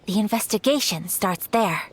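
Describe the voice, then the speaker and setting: A woman's voice: mid-range voice, animated, moderate pace, slight background noise, natural voice. A woman playing a poised, commanding young noblewoman in a video game, speaking formally and politely, with music behind. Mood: composed authority. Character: poised noble young lady, eldest clan daughter, composed authority. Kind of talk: video game character acting.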